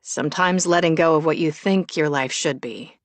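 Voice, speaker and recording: A woman voicing a patient, wry man in audiobook dialogue, calm and reassuring. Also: sits low, moderate pace, dry recording, natural voice. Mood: sympathetic, earnest.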